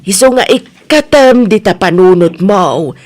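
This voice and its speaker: A woman with an Ilocano Filipino accent acting a sharp-tongued, bossy shop-owning aunt in a comedy radio drama, emphatic and nosy. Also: mid-range voice, expressive, measured pace, natural voice. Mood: scolding.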